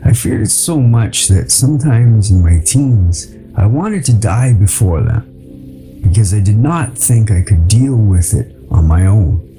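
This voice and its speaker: A man reading a memoir and poetry as an audiobook, in a measured, reflective way with dramatic pauses. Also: on the low side, expressive, warm tone, soft background music, poor audio. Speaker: audiobook narrator.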